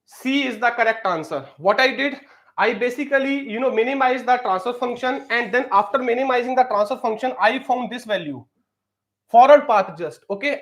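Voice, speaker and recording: A man's voice: extremely high pitch, a bit bright. A man runs a live-streamed exam prep lesson. He leans on fillers like "you know" and keeps asking viewers to post answers in the comments. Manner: repeats pleas for comments, many fillers.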